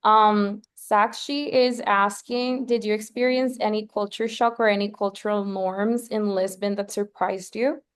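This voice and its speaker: A woman's voice: medium pitch, fairly even intonation, unhurried, hardly any pauses, smooth. A woman hosting a program Q&A, thanking the speaker and reading out audience questions. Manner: upbeat, reads out audience questions. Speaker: program staff host of the Q&A. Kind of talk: moderating a webinar Q&A.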